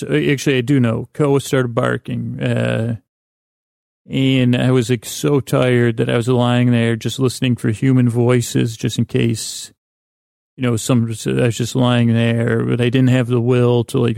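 A middle-aged man hosting a sleep podcast, rambling hesitantly and often trailing off mid-thought in a gravelly voice. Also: mid-range voice, flat delivery, a little slow, darkish tone, dry recording, clean studio sound. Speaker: podcast host.

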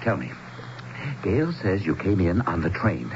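An actor playing a genial, excitable middle-aged townsman with a hearty, eager manner in a radio drama. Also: deep voice, unhurried. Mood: awed, delighted. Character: genial, excitable middle-aged man, neighbor or small-town mayor. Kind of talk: 1970s radio drama acting. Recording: dull, noisy 1970s radio broadcast.

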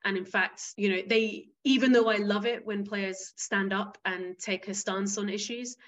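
A woman's voice: mid-range voice, fairly bright tone. A woman from the Isle of Wight, a football club chief executive speaking frankly as an interview guest and often restarting her sentences. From Isle of Wight, England.

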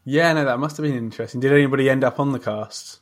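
A man's voice: mid-range voice, quick pace. A man hosting a podcast interview in a casual, chatty style, agreeable and encouraging as he talks.

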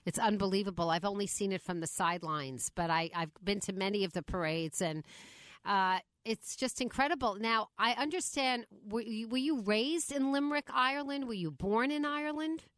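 A woman's voice: mid-range voice, normal speed, fairly bright tone, dry recording. A woman hosting a radio interview show, speaking with an enthusiastic, exclamatory manner.

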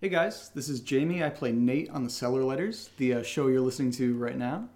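A man's voice: mid-range voice, animated, a little fast, darkish tone. A man co-hosting a podcast, chatting casually in a jokey, playful way. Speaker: podcast host and voice actor. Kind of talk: casual chat.

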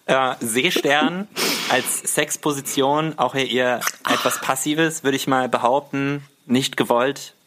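A young man with an average-pitched voice speaks as a guest in a casual podcast conversation. He lays out his points playfully, with a mock-serious air. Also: takes it slowly. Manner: playful, animated explaining with mock-serious arguments. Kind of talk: casual humorous podcast chat.

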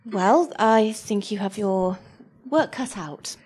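A woman's voice: mid-range voice, slow, a little mellow, breathy edge. A woman acting a polite, tactful office assistant in a live audio drama, careful and hesitant with her words. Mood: polite, awkward. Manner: hesitant, diplomatic. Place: live on stage at a festival. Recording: noisy live stage recording.

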